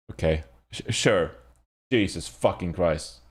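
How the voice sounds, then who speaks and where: A man's voice: sits low, slow pace, husky. A man hosting a casual esports panel discussion, talking in a blunt, rambling, profane way. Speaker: podcast host.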